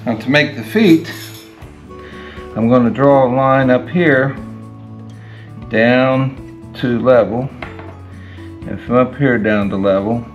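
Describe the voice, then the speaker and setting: A man in his sixties, a DIY host giving a plain, unhurried step-by-step demonstration while working at his home garage workbench, picked up by a camera above the bench. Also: medium pitch, dull audio. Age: 60s. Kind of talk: hands-on how-to demonstration. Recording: echoey garage with guitar music. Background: guitar music.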